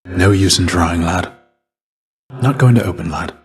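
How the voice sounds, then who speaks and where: Stoic ghostly Greek warrior, low-pitched male voice, weary fatherly mentor, measured and formal, restrained gravity, video game dialogue. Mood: firm, gruff.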